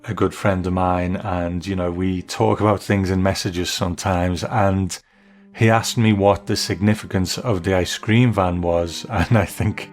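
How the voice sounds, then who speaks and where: Low-voiced British man hosting a podcast. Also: steady tone, measured pace. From Britain. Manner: tentative, musing. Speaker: podcast host. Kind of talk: casual podcast commentary.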